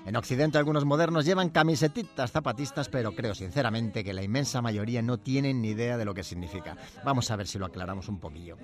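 A man's voice: mid-range voice, fast talker, hardly any pauses, soft background music. A male presenter reads a history segment from a script. He slips in wry, ironic asides as he goes. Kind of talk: scripted humorous history narration.

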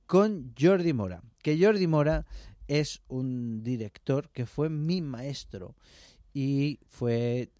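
A man's voice: medium pitch, dark tone, no room sound, clean studio sound. A man with a Madrid accent, a conductor and violinist chatting casually as a radio guest, laughing easily as he explains. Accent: Madrid Spanish.

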